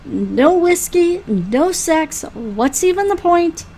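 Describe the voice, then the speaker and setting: A woman's voice: very high voice, very animated, takes it slowly, warm tone, pitched above the performer's own voice, heavily acted. A woman reading a visual novel aloud as an injured, self-pitying young noblewoman, plaintive and emphatic.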